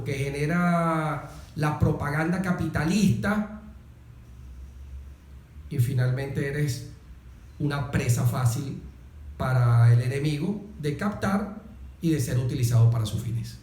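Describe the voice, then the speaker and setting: A man with a Venezuelan accent discussing politics earnestly as a guest, emphatic and deliberate, building rhetorical series by repeating phrases. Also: a little high, a little mellow. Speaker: interview guest, political commentator. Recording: roomy, echoing sound.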